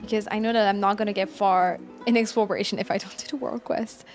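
A woman's voice: mid-range voice, steady tone, fast talker. A woman in her twenties chatting casually while streaming a game, teasing and playfully sarcastic. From France. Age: 20s. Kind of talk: casual live gameplay chat. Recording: stream voice over game music.

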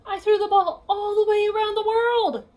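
A woman voicing an excitable, boastful little female pig in a children's picture-book read-aloud, exclaiming in a gleeful sing-song. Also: very high-pitched, normal speed, voice pushed up. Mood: boastful triumph.

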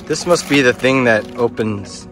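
A young adult man who is a parent filming an outdoor toy unboxing and gently encouraging his children with questions. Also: medium pitch, measured pace, over music. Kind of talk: casual chat with children. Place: outdoor wooden deck.

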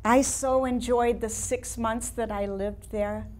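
A woman in her sixties with an American accent performing a staged monologue as a wistful, reflective older painter looking back in measured, nostalgic phrases. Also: a little high, steady tone, a little mellow. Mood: fond reminiscing. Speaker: playwright performing a staged reading. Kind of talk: theatrical monologue reading. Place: small studio room with seated audience.

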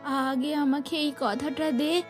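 A woman voicing a frail, dying, loving mother in a cartoon, speaking weakly in slow, halting pleas. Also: sits high, steady tone, faint music behind. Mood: weak, pleading. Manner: weak, slow, halting, pleading.

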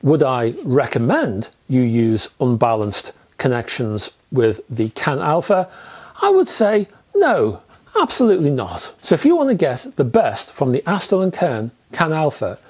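Man in his fifties, medium pitch, animated intonation, emphatic reviewer delivery, conversational scripted presentation. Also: a little slow, breathy edge. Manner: emphatic, conversational, rhetorical asides. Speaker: audio equipment reviewer. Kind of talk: scripted video review to camera. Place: small indoor room with record shelves.

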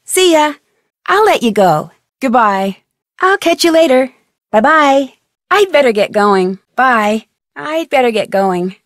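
Young female game detective, inquisitive, direct, animated pitch, dry studio sound. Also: takes it slowly, no room sound. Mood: breezy. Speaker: game protagonist. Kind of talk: studio video game voice lines.

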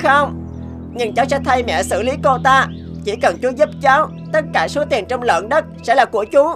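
Cartoon role: determined, pushy little schoolchild. Fairly high register, insistent delivery. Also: female voice, expressive, moderate pace, over music. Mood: desperate, insistent. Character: determined, scheming young schoolchild. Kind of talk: cartoon dubbing.

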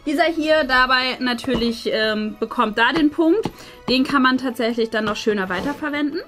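A woman in her thirties with a high voice hosts a casual unboxing. She chats and explains to her viewers, with faint music playing behind her. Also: moderate pace, a bit bright. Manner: chatty, explanatory. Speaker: host of an unboxing channel. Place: small furnished indoor room. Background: faint background music.

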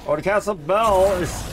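A man's voice: high voice, creaky, background noise. A man giving casual video game playthrough commentary, jokey and thinking aloud as he goes.

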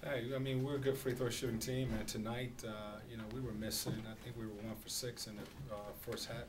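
A middle-aged man, a basketball head coach, answering questions at a postgame press conference in a measured, halting way with many fillers. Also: mid-range voice, level tone. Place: small press room at a podium. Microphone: podium microphone on a stand. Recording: distant camera audio, noisy room.

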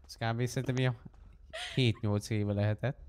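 A man's voice: mid-range voice, steady tone, crowd noise. A man chatting casually in a rambling way with some swearing during an online roleplay game.

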